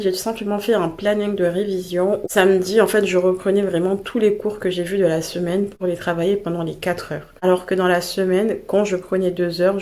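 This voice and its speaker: A young woman speaking French in a low-pitched voice, a bit stuffy from a cold. She talks in a relaxed, chatty way and keeps circling back to the same points, with plenty of little fillers. It sounds like a casual advice vlog. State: has a cold. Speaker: vlogger sharing study tips.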